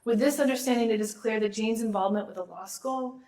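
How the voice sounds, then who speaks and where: A woman's voice: fairly high pitch, fast talker, darkish tone. A middle-aged woman, a law school dean, gives a formal awards speech while losing her voice, with small restarts and asides.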